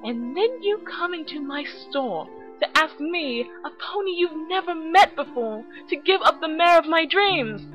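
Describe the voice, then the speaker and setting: Casting: young female cartoon pony shopkeeper, high voice, flustered and fiery, lo-fi recording over music. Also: expressive, a little slow, hardly any pauses. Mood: furious. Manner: stammering when flustered, shrill when angry.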